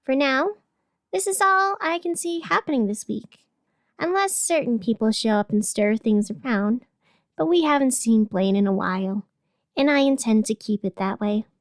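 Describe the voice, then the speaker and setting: A woman playing a whimsical community radio host who delivers absurd scripted town news in a playful, deadpan way. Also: fairly high pitch, normal speed, no room sound, studio quality. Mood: upbeat. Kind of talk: scripted fiction podcast, community radio announcements.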